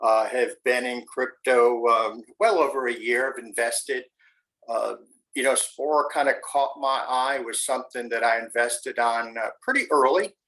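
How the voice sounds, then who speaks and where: A man's voice: mid-range voice, a little slow, bright, forceful tone, poor audio. A man from the USA hosting a casual interview on his channel, friendly and upbeat, with lots of fillers. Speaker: YouTube channel host. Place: home in the mountains.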